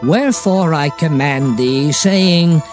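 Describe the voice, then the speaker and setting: A man playing a solemn prophet proclaiming divine law in a dramatized King James scripture reading, measured and oratorical. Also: on the high side, soft background music.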